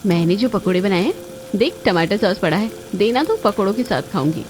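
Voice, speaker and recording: A woman's voice: mid-range voice, lively intonation, fairly quick, a little mellow, a little hiss or hum, close to an everyday voice. A woman voicing a naive, greedy young bride in a family story, guileless and whiny. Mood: hungry, whiny. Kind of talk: dramatized family story voice acting.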